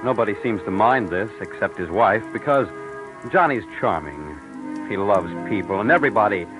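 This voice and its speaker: Measured low-voiced male narrator. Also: lively intonation, low-quality recording. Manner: measured, dry. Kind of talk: scripted narration. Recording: old radio recording with music.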